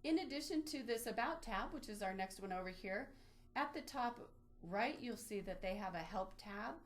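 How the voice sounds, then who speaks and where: A woman presenting an instructional webinar, explaining things step by step as she walks through a screen demonstration. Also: medium pitch. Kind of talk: instructional screen-share walkthrough.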